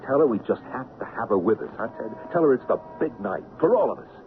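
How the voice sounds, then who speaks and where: An actor playing a persuasive, excitable Broadway producer in an old-time radio drama, with a theatrical, emphatic delivery. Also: male voice, mid-range voice, fast, natural voice. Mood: wistful, coaxing. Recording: noisy, narrow-band old radio recording.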